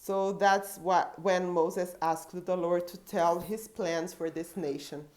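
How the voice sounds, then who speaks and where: A woman in her thirties from Brazil, speaking English with a Brazilian Portuguese accent, sharing her story as a missionary and social worker in an earnest, halting way with many restarts. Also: lowish voice, fairly even intonation, darkish tone. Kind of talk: personal testimony.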